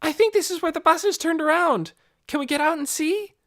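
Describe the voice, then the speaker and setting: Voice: very high voice, normal speed, a bit bright, voice pushed up. A narrator voicing an eager, anxious young girl in an audiobook, urgent and pleading. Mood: frantic.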